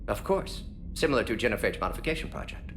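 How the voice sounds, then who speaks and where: An eccentric alien scientist from a video game voiced by a man, firing off clipped phrases very quickly. His pitch swings in a lively, animated way, and he sounds confident, with music behind him. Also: natural voice. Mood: brisk, confident. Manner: rapid-fire clipped telegraphic phrases. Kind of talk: video game character acting.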